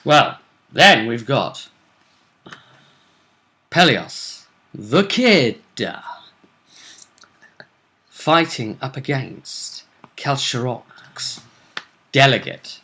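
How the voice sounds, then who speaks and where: A man's voice: medium pitch, expressive, very slow, long pauses, pushed and bright. A man hosting a role-play vidcast, chatting casually through a game recap in a jokey, sweary way.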